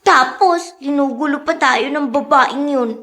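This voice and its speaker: A woman's voice: sits high, unhurried, pushed and bright. A woman playing a worried, stubborn young daughter in a radio drama, polite and plaintive. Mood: annoyed. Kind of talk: Filipino radio drama acting.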